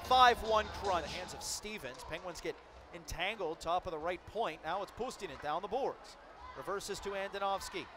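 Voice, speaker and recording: A man calling a hockey game play by play, rattling off passes quickly and shouting out the goals. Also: high-pitched, background noise. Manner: rapid calls that build to shouted goal calls. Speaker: play-by-play announcer.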